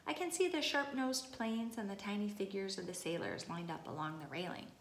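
A woman's voice: medium pitch, brisk. A woman reads a children's storybook aloud with expression, in a mid-range voice. The sound is roomy and echoey, with noise behind her. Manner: expressive, acting out exclamations and dialogue. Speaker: storybook reader. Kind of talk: children's picture book read-aloud. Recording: echoey room, clear background noise.

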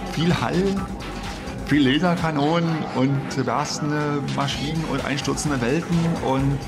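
Colloquial man reminiscing over music. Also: a little high. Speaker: interviewee, techno scene witness. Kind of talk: casual reminiscing. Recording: electronic music bed behind voice.

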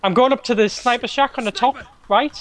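High-voiced man bantering. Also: a little fast. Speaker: co-host of a gaming livestream. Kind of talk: casual gaming banter. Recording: faint noise, game gunfire behind.

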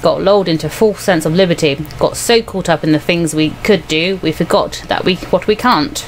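A woman's voice: fairly low pitch, moderate pace, a little hiss or hum, pitched below the performer's own voice, close to an everyday voice, low-quality recording. A woman voicing a bitter working-class man in a visual novel, grumbling with cynical emphasis. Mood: bitter, weary.

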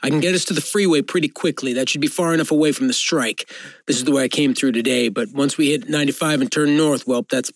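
Commanding military survivor guide, higher-pitched, clipped and bossy, close dry audio drama sound. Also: male voice, fast talker, dry recording, poor audio. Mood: commanding, tense. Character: terse, commanding male soldier guiding survivors.

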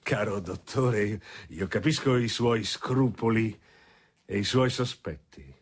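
An actor playing a courteous older lawyer in a radio drama, husky, formal and measured. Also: male voice, fairly low pitch, animated, full character voice. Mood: courteous, guarded. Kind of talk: Italian radio drama acting.

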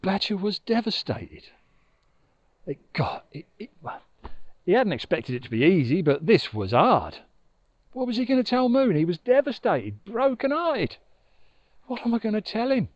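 An older man telling a children's story in an expressive, conversational way, recorded outdoors on a lapel mic. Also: sits high, unhurried, no echo, highs cut off. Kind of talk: informal oral storytelling for children. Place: outdoors on a grassy riverbank. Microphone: lapel mic with furry windshield.

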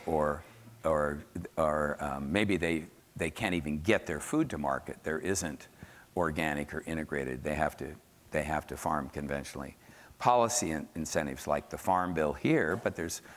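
An older man with an American accent giving an informal, emphatic conference lecture as a scientist explaining to an audience. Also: extremely low pitch. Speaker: keynote speaker, soil scientist. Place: large dark conference hall, on stage at podium. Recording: echoey hall, amplified stage speech.

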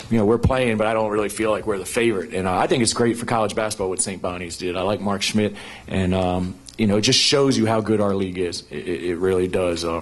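A middle-aged man, a basketball head coach, answering reporters at a post-game press conference through a table microphone, talking fast with many "you know" fillers and restarts. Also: fairly low pitch. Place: press conference room at a sports arena. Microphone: table microphone on a stand.

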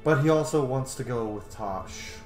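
A man's voice: mid-range voice, moderate pace, a little mellow. Man casually commentating a game, roomy sound. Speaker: gaming streamer roleplaying a playthrough. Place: room at home, indoors. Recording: roomy, game music faintly behind.

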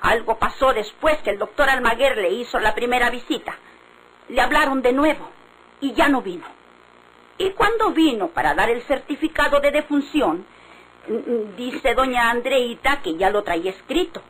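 Stubborn, high-voiced Mexican village woman. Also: expressive, normal speed, a bit bright. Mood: suspicious. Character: stubborn, suspicious village woman insisting on foul play. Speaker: actor in a radio drama. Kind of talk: vintage Mexican radionovela acting. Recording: faintly noisy old radio recording.

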